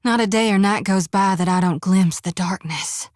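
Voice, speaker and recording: A woman's voice: mid-range voice, normal speed, no room sound, studio quality, natural voice. A woman in her twenties voicing a young, stubborn soul-harvesting priestess who talks in a folksy, homespun way full of rural sayings. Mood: reflective. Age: 20s. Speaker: game companion. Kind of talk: game voice acting.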